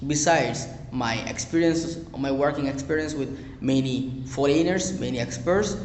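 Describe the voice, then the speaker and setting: Young bangladeshi guy, bengali native, earnest english teacher, kinda high voice, roomy echo and faint hum behind. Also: moderate pace, darkish tone. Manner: earnest, repeats phrases, hesitant fillers. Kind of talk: advice talk to learners. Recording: echoey room with long reverb.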